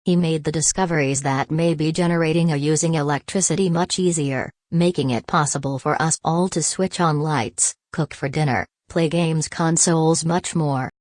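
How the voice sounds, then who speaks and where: A woman with a low voice reads practice passages aloud. The delivery is scripted, as for a language test exercise. The sound is dry, with very little room in it. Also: fairly even intonation, moderate pace, hardly any pauses, a little mellow, no room sound. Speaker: reader of test practice texts.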